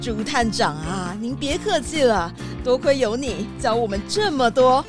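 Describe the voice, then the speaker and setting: A woman's voice: on the high side, animated, unhurried, music in the background. A fussing, motherly town mayor. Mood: grateful. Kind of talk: children's radio drama acting.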